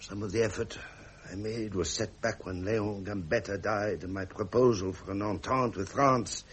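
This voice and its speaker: An actor playing a frustrated, proud Prince of Wales near fifty, formal and imperious, with an upper-class English accent in a period drama. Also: male voice, fairly low pitch, fairly even intonation, normal speed, slightly rough. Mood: weary, frustrated. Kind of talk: period British broadcast drama acting.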